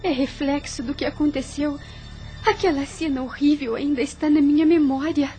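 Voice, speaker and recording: An actress playing a kind, reserved young widow in a radio soap, speaking politely and formally. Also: female voice, high-pitched, fairly even intonation, normal speed, mellow, soft background music, close to an everyday voice. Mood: distressed. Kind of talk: radio soap opera acting.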